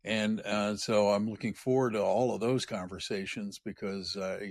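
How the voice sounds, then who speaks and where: A man co-hosting a podcast, telling stories in an animated way with big jumps in pitch. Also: normal speed, no room sound, studio quality. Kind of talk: casual interview chat.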